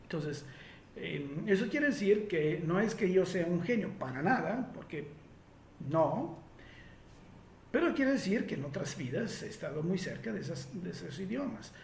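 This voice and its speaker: A man's voice: high voice, lively intonation, moderate pace, creaky, a little mellow, roomy sound, slight background noise. A man from Italy speaking Spanish with an Italian accent, answering listener questions in a reflective way with frequent fillers and restarts.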